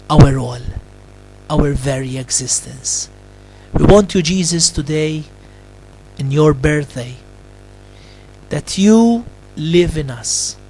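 Man, friar, homily, very slow deliberate phrasing, faint background music. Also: fairly high pitch, darkish tone, low-quality recording. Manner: deliberate, phrase-by-phrase delivery with long pauses. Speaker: Capuchin friar preaching. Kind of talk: slow Christmas homily with prayer.

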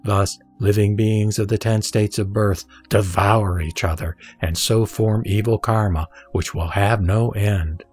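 A man reading scripture as narrator of an audio drama, in a solemn, measured and deliberate way. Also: lowish voice, fairly even intonation, darkish tone, slightly rough. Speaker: sutra reciter and narrator. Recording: background music under the voice. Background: music.

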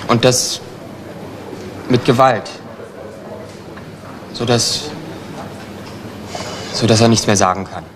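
An actor playing a young homeless petty crook, defensive and evasive, halting and repeating himself in a crime radio play. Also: male voice, mid-range voice, natural voice. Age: young adult. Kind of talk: German radio crime drama acting. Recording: noisy retro radio drama recording.

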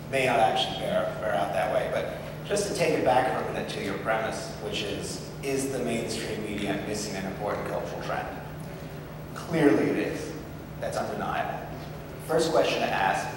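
A man's voice: fairly low pitch, noisy background. Articulate young man in an echoey hall. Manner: articulate, structured argument. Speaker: journalist panelist. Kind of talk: panel discussion. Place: large hall stage. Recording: echoey hall heard from far off.

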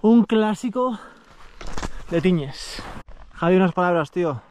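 Higher-voiced Spanish man vlogging outdoors. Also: animated, slow, a little mellow, a little hiss or hum. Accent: European Spanish. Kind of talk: casual outdoor vlog commentary. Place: outdoors on snowy mountain slopes.

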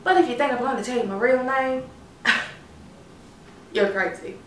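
A woman performing a stage monologue as a sassy, candid retired call girl who reminisces fondly with playful, dramatic pauses. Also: high voice, natural voice. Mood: coy, confiding. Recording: echoey room, distant mic, background noise.

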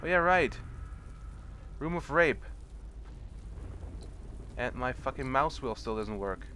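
A man's voice: mid-range voice, animated, takes it slowly, sharp tone. A young man with a stuffy cold, casually commenting on his gameplay, swearing and mocking enemies sarcastically. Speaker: let's play commentator. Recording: home recording with clear background noise.

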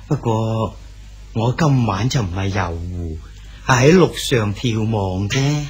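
An actor with a Cantonese accent playing an aging exiled male poet, gentle and fatherly in a radio drama. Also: fairly low pitch, lively intonation, slow, slightly rough, heavily acted. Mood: calm. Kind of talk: 1980s Hong Kong radio drama acting.

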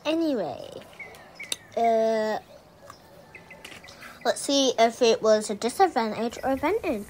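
A woman's voice: on the high side, lively intonation, takes it slowly, a little mellow, slight background noise, poor audio. A young woman giving casual, offhand commentary while playing a video game. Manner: chatty, offhand, wandering. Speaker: gamer.

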